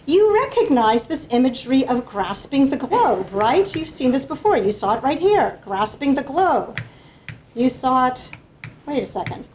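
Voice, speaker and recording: A woman delivers an academic lecture as a panelist, walking her listeners through slides. Her voice sits a little high, and she explains patiently, pausing often to check that the audience is following. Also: sing-song, darkish tone, a little hiss or hum.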